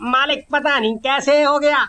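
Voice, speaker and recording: A man's voice: extremely high pitch, fairly even intonation, normal speed, pushed and bright. A man voicing an obedient, anxious servant at a roadside eatery in a cartoon story, deferential and submissive. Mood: puzzled, apologetic. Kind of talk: Hindi cartoon story acting.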